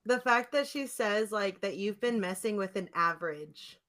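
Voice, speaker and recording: A woman hosting a podcast in casual chat, informal and quick to chime in with reactions and agreement. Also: mid-range voice, a bit bright. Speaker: podcast host.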